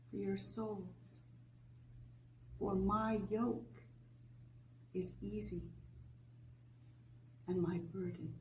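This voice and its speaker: A woman preacher giving a sermon in a gentle, deliberate manner, phrase by phrase. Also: medium pitch. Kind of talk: sermon, conversational reflection and scripture reading. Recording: distant microphone, faint background noise.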